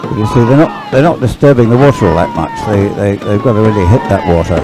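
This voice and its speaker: A man's voice: fairly low pitch, expressive, fast talker, a crowd in the background. A man giving chatty, unscripted live sports commentary, often hesitant and thinking aloud as he goes.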